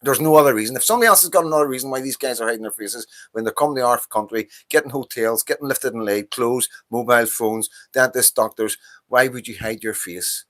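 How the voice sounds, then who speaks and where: A man's voice: medium pitch, fast talker, fairly bright tone. Northern irish guy, 50s, hosting his own live stream, heated rant, lots of swearing and repeating himself. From Northern Ireland. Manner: impassioned, ranting, profane, repeats himself. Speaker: livestream host. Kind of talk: casual political monologue.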